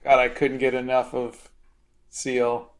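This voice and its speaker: A man with a medium-pitched voice hosts a live drawing stream. He chats casually as an artist at work, answering viewers in an informal, conversational way. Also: monotone, takes it slowly. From United States.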